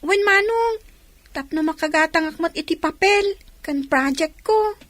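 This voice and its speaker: A woman's voice: extremely high pitch, unhurried, fairly bright tone, no room sound. An actress playing a dutiful young schoolgirl with a plaintive, childlike manner and an Ilocano accent in a radio drama.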